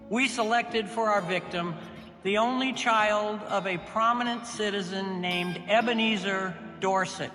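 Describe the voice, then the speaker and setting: A man's voice: sits high, bright, forceful tone. An actor playing a folksy small-time swindler, narrating his caper in the first person with wry, wordy delivery. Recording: long reverb, music clearly behind.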